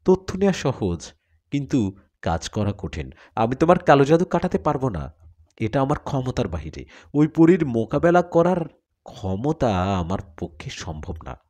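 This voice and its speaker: A man's voice: mid-range voice, big pitch swings, moderate pace, dark tone. A grave male village healer in a horror tale, voiced by a male narrator. He speaks solemnly, cautioning like someone powerless to help. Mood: grave warning.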